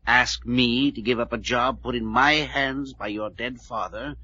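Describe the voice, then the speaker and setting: An actor playing a stiff, defensive family attorney, earnest and self-justifying, in an old-time radio serial. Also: male voice, mid-range voice, steady tone, measured pace, sharp tone, breathy edge, dry recording. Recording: dull, dry old radio recording.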